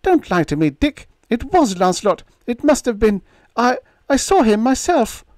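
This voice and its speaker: A woman's voice: fairly high pitch, big pitch swings, moderate pace, darkish tone, dry recording, studio quality, pitched above the performer's own voice. A man voicing a heartbroken young woman who speaks in an intense, eager, pleading way.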